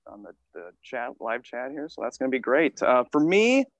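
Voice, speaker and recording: A man around thirty, a podcast guest telling stories in an animated, casual way with the odd swear. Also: mid-range voice, normal speed, no echo, dull audio. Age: 30s. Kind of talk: casual interview storytelling.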